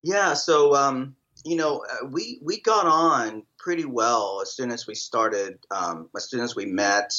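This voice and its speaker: Rambling middle-aged man, low voice. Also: sharp tone, dull audio. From El Salvador. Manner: rambling, many fillers and restarts. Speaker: drummer, interview guest. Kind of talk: casual interview answers.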